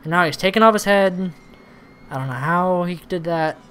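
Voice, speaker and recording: A man doing casual let's-play commentary, thinking aloud in a rambling way, with a slightly hoarse voice. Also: sits high, normal speed, a bit bright. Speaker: host of a gaming channel. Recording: noisy recording with background ambience.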